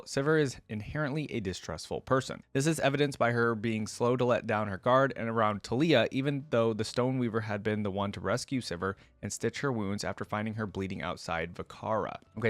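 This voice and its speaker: A man hosting a casual online reaction video, reading wiki text aloud and thinking out loud in an informal way. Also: on the low side, no echo. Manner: informal, thinking aloud between read-out passages. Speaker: YouTube host reacting to game lore.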